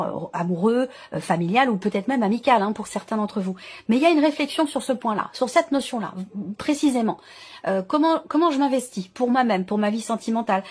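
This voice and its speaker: A woman with a fairly high voice talks viewers through an intuitive tarot reading. She improvises, often circling back on a word or correcting herself, then explains what she means and checks in with the listener. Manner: hesitant, searching for words, with repetitions and self-corrections. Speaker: tarot reader, channel host.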